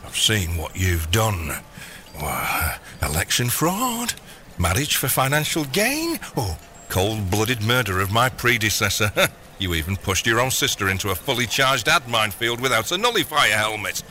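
Megalomaniac doting father played by a man, bright and wildly animated, wheedling, theatrical, comedy radio play. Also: on the low side, moderate pace, a little hiss or hum. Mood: gleeful, wheedling. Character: gleeful megalomaniac villain, doting scheming father. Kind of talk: scripted audio comedy drama.

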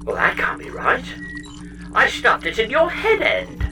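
A woman's voice: on the high side, wildly swooping pitch, unhurried, distant mic, music in the background, exaggerated voice, low-quality recording. A woman playing a chatty, scatterbrained, possessive spaceship computer in a comedy sci-fi audio drama, with breezy theatrical delivery. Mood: cheerfully oblivious.

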